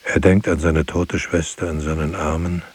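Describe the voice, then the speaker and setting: An actor playing a grave narrator in a literary radio drama, speaking in a solemn, measured way. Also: male voice, extremely low pitch, flat delivery, a little mellow, breathy edge. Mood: mournful, reflective. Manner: solemn, measured, terse sentences. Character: grave male narrator recounting lives and losses.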